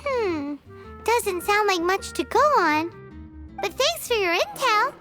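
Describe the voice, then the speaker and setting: A woman voicing a tiny, excitable, childlike fairy companion in a video game, squeaky and exclamatory. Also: takes it slowly, faint music behind, heavily acted. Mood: doubtful. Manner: exclamatory, refers to herself in third person.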